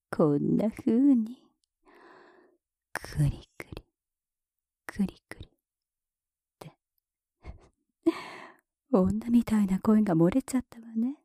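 A woman voicing a cool, mocking, dominant woman in a whispered ASMR roleplay. She speaks very close to the mic, in a soft whisper broken by taunting laughs. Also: lowish voice, husky, no echo, full character voice. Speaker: voice actress.

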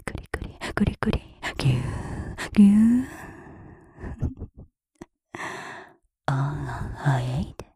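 A woman voicing a cool, mocking, dominant woman in a whispered ASMR roleplay. She speaks very close to the mic, in a soft whisper broken by taunting laughs. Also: low-pitched, expressive, slow, long pauses, husky, no room sound, heavily acted. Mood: teasing, mocking. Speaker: voice actress.

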